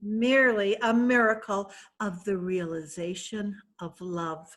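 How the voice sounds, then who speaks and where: A woman's voice: medium pitch, fairly even intonation, unhurried, fairly bright tone. A woman reading the part of a carefree, coquettish young woman who talks in an airy, assured, playful way. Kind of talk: readers theatre reading.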